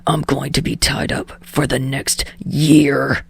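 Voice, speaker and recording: A woman voicing a gruff, brooding young male actor who curses often, speaking low, hushed and gravelly through clenched teeth. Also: flat delivery, takes it slowly, full character voice. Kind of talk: podfic reading.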